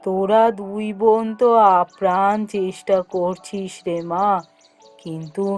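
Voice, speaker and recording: A woman's voice: medium pitch, darkish tone, natural voice. A woman voicing a worried older village mother in a cartoon, weary and fretful. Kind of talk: cartoon voice acting.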